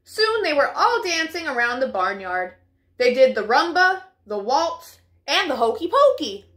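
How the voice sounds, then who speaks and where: A woman's voice: high-pitched, a little slow. A woman in her twenties reading a children's picture book expressively as a storytime host. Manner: animated, clearly paced for children. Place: living room, indoors.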